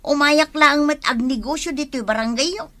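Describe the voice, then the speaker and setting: Actress as complaining, scheming Ilocano village woman for comedy drama; high, forceful bright voice, close dry sound. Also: fairly quick, no echo.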